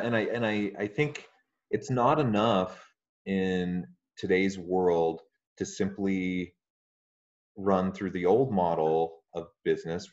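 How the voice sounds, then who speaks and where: A man hosting an interview podcast, friendly and measured, with frequent fillers. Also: low-pitched, a little mellow, dull audio. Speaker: podcast host.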